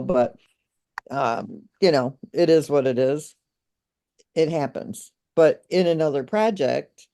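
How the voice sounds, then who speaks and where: Mellow woman walking through numbers on a call. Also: very deep voice. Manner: searching aloud, with fillers and small laughs. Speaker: co-host presenting project numbers. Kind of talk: casual walkthrough of a shared screen. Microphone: video call.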